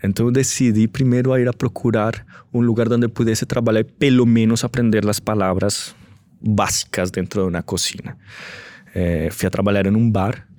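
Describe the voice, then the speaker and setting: A man's voice: lowish voice, no room sound. A young adult man from Colombia with a Colombian Spanish accent, telling stories as a chef in an interview, slipping in Spanish words and laughing often. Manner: relaxed storytelling, mixes in Spanish words. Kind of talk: interview answers.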